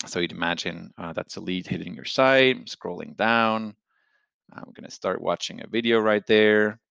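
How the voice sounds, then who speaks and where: Low-voiced man presenting a software demo. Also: normal speed, vocal fry, clean tone, dry recording, highs cut off. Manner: conversational, unscripted, explains step by step. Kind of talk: screen-share product tutorial, explanatory.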